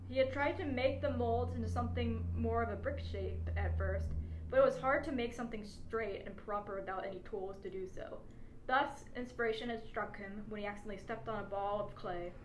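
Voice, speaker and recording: A woman reading out a humorous adventure story as its narrator, in scripted prose. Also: on the high side. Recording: echoey space with faint background noise.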